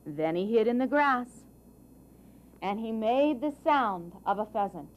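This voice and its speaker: A woman narrating a children's story in a deliberate, expressive way with dramatic phrasing. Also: fairly high pitch, dull audio, slight background noise.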